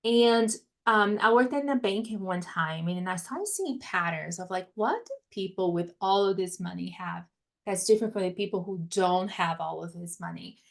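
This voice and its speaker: Brazilian-accented woman coach, animated and laughing, telling her story as an interview guest. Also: medium pitch, normal speed, creaky. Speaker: money mindset coach. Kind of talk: podcast interview, personal story.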